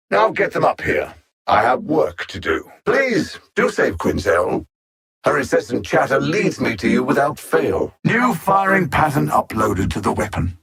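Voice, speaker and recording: A man playing a cold, arrogant alien machine supervillain in a video game, with a raspy voice and a condescending, menacing, deliberate delivery. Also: medium pitch, fairly bright tone, full character voice. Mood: cold, commanding, then mocking, sardonic. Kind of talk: scripted video game villain dialogue.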